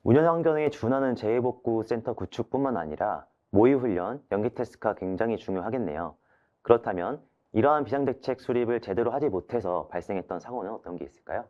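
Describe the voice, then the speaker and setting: A man with a slightly low voice hosts a webinar at a quick pace. He politely sums up and puts questions, on a somewhat dull recording. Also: expressive. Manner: polite, summarizing. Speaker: webinar host. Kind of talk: moderating with questions. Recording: dull band-limited webinar audio.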